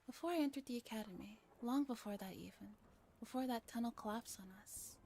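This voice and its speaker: A woman with a fairly high voice reads a story aloud in a soft ASMR style. Her delivery is gentle and breathy, often slipping toward a whisper, like a streamer narrating a visual novel. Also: a little fast, a little hiss or hum. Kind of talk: soft-spoken ASMR narration with chat asides.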